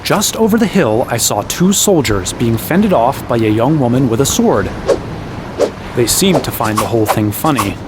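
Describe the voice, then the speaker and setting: A man's voice: medium pitch, big pitch swings, moderate pace, heavy background noise. An actor playing an exasperated, sarcastic young hero who narrates his own quest in a fantasy audio drama. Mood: narrating. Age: young adult. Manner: wry, exasperated. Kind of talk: first-person audio drama narration and dialogue.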